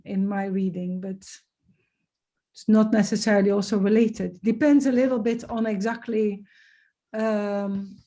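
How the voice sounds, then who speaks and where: A woman's voice: medium pitch, mellow. A woman chairing a webinar discussion on a video call, hesitant with many fillers but joking lightly. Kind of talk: casual meeting discussion.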